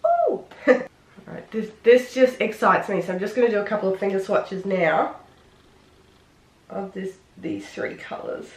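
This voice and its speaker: A woman with a head cold, sounding nasal and sniffly, chatting excitedly through a casual makeup tutorial. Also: mid-range voice, unhurried, pauses often. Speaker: beauty vlogger. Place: home room. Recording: roomy, echoey room.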